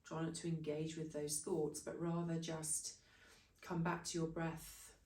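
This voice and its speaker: A woman leading a guided breathing meditation, giving soothing, unhurried instructions in short phrases. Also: low voice, flat delivery, a little mellow. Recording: echoey room, distant from microphone.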